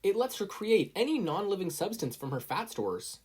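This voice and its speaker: A narrator voicing a nonchalant, deadpan teenage student spy in a story. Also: mid-range voice, normal speed, slightly rough, pitched above the performer's own voice.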